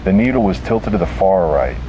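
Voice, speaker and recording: A man's voice: low voice, animated, brisk, noisy background. A man narrating a scripted first-person detective horror story.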